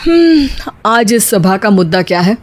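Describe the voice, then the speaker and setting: A woman voicing a wry older father-in-law who teases his wife sarcastically in an animated story. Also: medium pitch, normal speed, close to an everyday voice. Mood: wry teasing. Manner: teasing, sarcastic.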